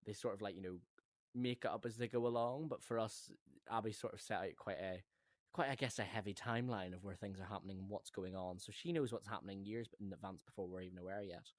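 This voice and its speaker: A low-voiced man co-hosts a casual behind-the-scenes discussion, recorded very dry. He is chatty and rambles as he thinks aloud, like a director talking through his work. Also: fairly even intonation, fast, no echo. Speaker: audio drama director and co-host.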